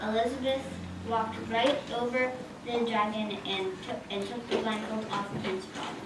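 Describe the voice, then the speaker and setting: A woman with a high voice narrates a storybook tale on stage. She sounds far from the mic in an echoey room with clear noise. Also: flat delivery, moderate pace. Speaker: puppet show narrator. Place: live stage in a roomy hall, far mic. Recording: distant, echoey, noisy live recording.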